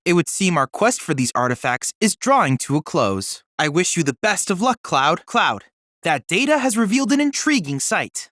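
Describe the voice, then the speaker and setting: An earnest teenage android boy serves as a research assistant in a video game. His delivery is lively, with wide swings in pitch, yet polite, formal and neatly articulated. The sound is dry, with no room around it. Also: no echo, exaggerated voice. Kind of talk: scripted video game character dialogue.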